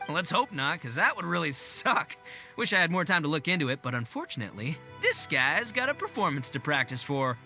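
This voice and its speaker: A loud, good-natured young gang boss from a video game. The voice is high for a man and very bright and forceful, with slangy swagger and wide swoops in pitch. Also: very animated, fairly quick, soft background music, heavily acted. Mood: disappointed.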